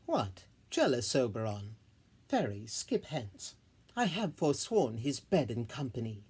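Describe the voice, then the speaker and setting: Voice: mid-range voice, sing-song, a little slow, darkish tone, breathy edge, exaggerated voice. A solo reader voicing a proud, scornful fairy queen with haughty, declamatory Shakespearean delivery. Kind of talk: solo Shakespeare verse reading.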